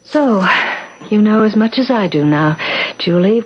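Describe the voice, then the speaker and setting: An American actress playing an intense, scheming suburban wife in a 1970s radio drama, insistent and deliberate. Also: female voice, mid-range voice, expressive. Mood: earnest, intense. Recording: dull, lo-fi old radio broadcast.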